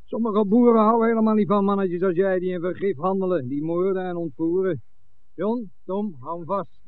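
An actor playing a commanding village policeman in a radio play, brisk, curt and official. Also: high-pitched, lightly acted. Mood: stern, satisfied. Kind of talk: 1960s Dutch radio play acting. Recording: narrow, muffled 1960s radio recording.

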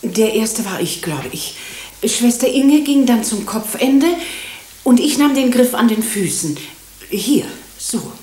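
Hospital nurse: dutiful, hesitant witness in a radio drama, roomy sound, slight hiss. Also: female voice, on the high side, expressive, slow, mellow. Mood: hesitant, unsure. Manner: hesitant, careful. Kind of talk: German radio drama acting. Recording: roomy echo with faint hiss.

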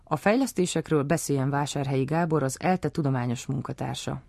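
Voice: medium pitch, creaky, darkish tone, no room sound, clean studio sound. A narrator reading scripted links and reports for a radio-style programme.

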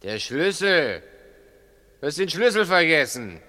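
A man's voice: fairly high pitch, sing-song, a little slow, bright, forceful tone, exaggerated voice. An actor playing a condescending burglar who slyly needles his partner, in a 1960s radio play. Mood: smug, taunting. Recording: 1960s radio drama, reverberant stairwell sound.

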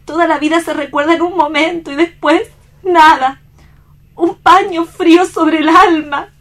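A woman's voice: very high voice, fairly even intonation, unhurried, a bit bright. An actress playing a tender, despairing young woman in a radio drama, with solemn, poetic delivery. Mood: fatal despair. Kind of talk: melodramatic radio play acting.

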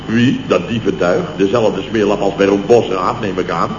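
An actor playing a blustering, middle-aged contractor boss who tells long, exclamatory stories in a 1960s radio comedy. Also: male voice, lowish voice, steady tone, moderate pace, lightly acted. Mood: boastful, dramatic. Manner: long-winded, exclamatory storytelling with tag words. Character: blustering, self-important male building contractor boss, boastful, exasperated. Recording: muffled, noisy old roomy radio recording.

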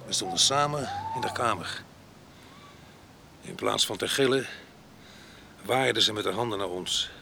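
Rough, domineering ex-con husband, jealous and mocking; low gravelly voice, radio drama, noisy recording. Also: male voice, lively intonation, measured pace, pauses often. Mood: bitter storytelling. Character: rough, jealous ex-convict husband with a violent past.

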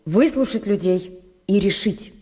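An actress playing a grave, principled jurist in a radio drama, quietly firm in what she says. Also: medium pitch, animated, measured pace, slightly rough. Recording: reverberant radio play, faint music.

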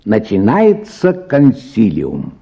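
Husky, expressive male storyteller. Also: on the low side, slow, dull audio. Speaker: narrator. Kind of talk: dramatic scripted story narration for radio.

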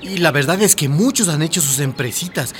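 A man's voice: fairly high pitch, animated, fairly quick, a bit bright, slight background noise. An actor playing a good-natured, protective Ecuadorian family man in a radio drama. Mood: earnest.

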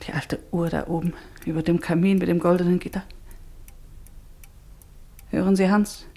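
A troubled woman in exile in a vintage radio play. Her voice is husky and breathy with a gravelly edge, sitting a little low. She speaks in a hushed, wistful way. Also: level tone, moderate pace. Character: troubled woman Ruth, exiled doctor's wife. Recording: old mono radio drama.

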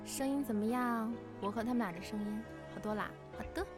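A woman hosting a live interview stream in a chatty, openly excited and nervous manner. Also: on the high side, a little fast. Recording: music playing behind the voice. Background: music.

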